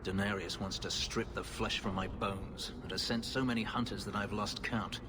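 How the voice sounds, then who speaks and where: An actor playing a brooding, bitter fugitive slave warrior in a video game, with grave, formal, measured speech. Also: male voice, on the low side, steady tone, noisy background, natural voice. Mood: grim resolve.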